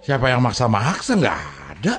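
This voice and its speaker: An actor playing a brash, evasive labour agent in an educational drama, with an exclaiming, protesting manner. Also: male voice, medium pitch, wildly swooping pitch, normal speed, fairly bright tone, slight background noise. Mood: alarmed, protesting. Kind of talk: scripted educational radio drama dialogue.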